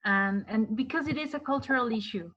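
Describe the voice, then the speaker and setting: A woman's voice: a little high, brisk, fairly bright tone, dull audio. A woman with a Spanish accent, a podcast guest talking in a careful, hesitant way. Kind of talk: casual conversation.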